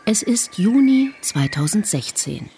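A woman narrating a radio documentary in a calm, measured first-person voice. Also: medium pitch, noisy background. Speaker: feature author and narrator.

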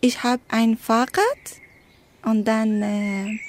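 An eighteen-year-old woman from Afghanistan speaking halting beginner German, searching for her words. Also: on the high side, flat delivery, a little hiss or hum. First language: not German. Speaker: interviewee.

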